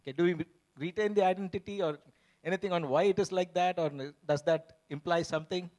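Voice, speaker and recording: High-voiced man moderating a panel. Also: fairly even intonation, darkish tone. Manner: measured, with pauses and fillers. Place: conference stage.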